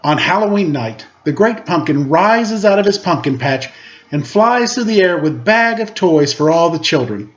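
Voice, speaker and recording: A man reading a children's story aloud in a playful, expressive way, with casual asides. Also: a little high, moderate pace. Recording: slightly dull, lo-fi audio.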